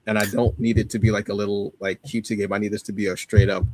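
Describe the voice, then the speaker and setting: A man's voice: fairly low pitch, flat delivery, fast talker, darkish tone, poor audio. A man co-hosting a podcast, chatting casually and enthusiastically with slangy emphasis as he gives recommendations. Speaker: podcast co-host. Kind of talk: casual chat, giving recommendations.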